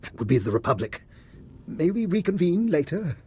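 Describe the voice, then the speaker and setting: A man acting a scheming scientist doctor in a video game, polite, formal and evasive, with a husky voice. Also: on the high side, big pitch swings, normal speed, mellow, full character voice. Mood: coolly evasive. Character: smooth-talking, scheming male scientist doctor, coolly amused.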